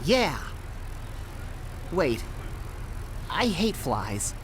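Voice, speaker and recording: A man voicing an anxious, put-upon young bee sidekick in a cartoon game, animated and fussy, with noise behind him. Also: on the high side, very slow, heavily acted. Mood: hesitant.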